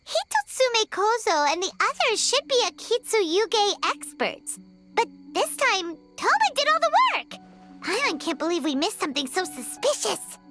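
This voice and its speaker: A woman's voice: very high-pitched, big pitch swings, normal speed, over loud music. A woman voicing a tiny, chirpy, self-important fairy companion in a video game. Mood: chirpy, indignant. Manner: talks of herself in third person.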